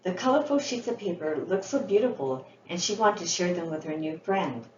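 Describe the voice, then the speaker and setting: In an echoey, slightly muffled room recording, a woman with a lowish voice narrates a children's storybook. She reads as the author, following the script. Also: lively intonation, a little fast, a little mellow. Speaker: children's author reading her book. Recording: roomy, muffled, with faint background noise.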